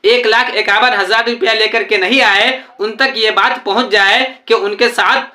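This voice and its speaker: A woman's voice: fairly high pitch, fairly even intonation, normal speed, sharp tone. A woman presenting a video with scripted explanatory narration. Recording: roomy echo on voice.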